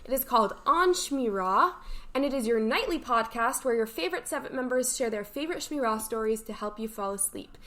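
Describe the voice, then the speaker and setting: Young female podcast co-host, slightly high voice, announcements and easy banter. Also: lively intonation, brisk, fairly bright tone. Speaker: co-host of a camp morning show podcast.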